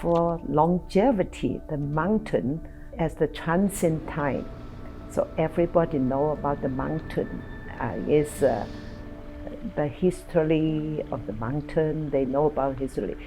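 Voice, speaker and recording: Older woman, halting speech. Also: low voice, music in the background, low-quality recording. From China. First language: Toisanese. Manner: halting, searching for words. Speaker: interviewee. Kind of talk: documentary interview.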